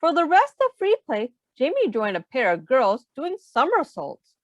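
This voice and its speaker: A woman reading a children's storybook aloud in an expressive, simple teacher's manner, pausing to put questions to young listeners. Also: sits high, no room sound.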